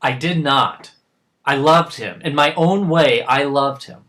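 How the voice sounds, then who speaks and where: A man's voice: mid-range voice, expressive, fairly bright tone. Man playing a stern, defensive middle-aged father. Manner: defensive, halting. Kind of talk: dramatic dialogue acting.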